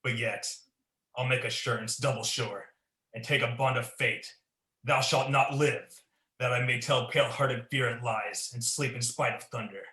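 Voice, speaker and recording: A man's voice: mid-range voice, fairly even intonation, moderate pace, sharp tone, natural voice. A young man playing an ambitious, guilt-ridden king in a Shakespearean radio play, declaiming in a commanding, theatrical way. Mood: confident, scheming. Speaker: student performer. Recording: echoey room.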